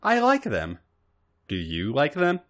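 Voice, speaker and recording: A man's voice: a little high, huge pitch swings, takes it slowly, voice pushed up, heavily acted. A man voicing a naive, earnest, bashful young woman in a story reading, with a hesitant, innocent manner. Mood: shy, hesitant.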